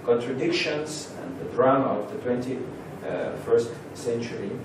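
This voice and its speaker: A Russian man speaking English as a second language, giving a halting seminar introduction with many pauses. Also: mid-range voice, slight background noise. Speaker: lecturer. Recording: roomy echo from far off.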